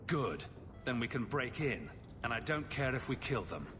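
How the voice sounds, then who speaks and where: Male actor as wry young fantasy adventurer. Sardonic, expressive range, over game soundtrack. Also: mid-range voice, normal speed, over music, close to an everyday voice. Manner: sarcastic, dry-witted.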